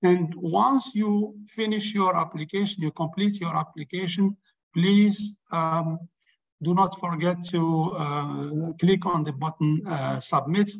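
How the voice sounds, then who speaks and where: A man hosting an online webinar, explaining steps with many pauses and fillers, on a video call mic. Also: fairly high pitch, fairly even intonation, creaky, poor audio. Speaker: webinar host. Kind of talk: webinar presentation. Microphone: webcam on a video call.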